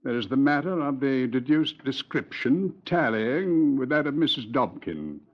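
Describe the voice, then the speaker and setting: Actor playing a formal pathologist, old radio play, animated rising delivery, lo-fi sound. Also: male voice, mid-range voice, moderate pace, lightly acted. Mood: precise. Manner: formal, precise, authoritative. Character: precise, formal male pathologist, confident expert. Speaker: Home Office pathologist. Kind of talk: 1950s radio drama acting. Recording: lo-fi vintage radio transcription.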